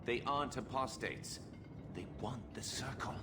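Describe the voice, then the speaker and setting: A man's voice: mid-range voice, measured pace, lightly acted. Idealistic templar rebel for a game, played by a man: middle register, heartfelt and pleading, noise behind him. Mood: earnest, insistent. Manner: pleading, sincere. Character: earnest, idealistic young male templar conspirator. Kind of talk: dramatic video game acting.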